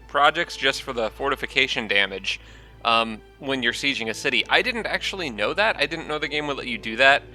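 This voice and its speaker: A man's voice: mid-range voice, pushed and bright. A man hosting his own gaming channel, talking casually through a strategy game while stuffed up from allergies, a little nasal, thinking aloud with frequent ums. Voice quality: slightly nasal from congestion.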